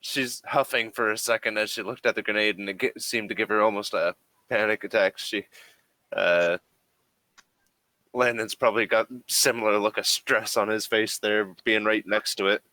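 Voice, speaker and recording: A man's voice: lowish voice, fairly even intonation, moderate pace, sharp tone, no echo, poor audio. A man acting as game master, casually narrating and asking questions in a hesitant way.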